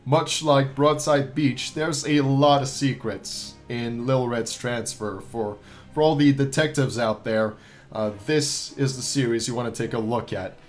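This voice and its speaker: A man's voice: mid-range voice, fairly even intonation, unhurried. Man giving casual commentary over music. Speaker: host of a ranking video. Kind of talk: casual unscripted review commentary. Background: background music.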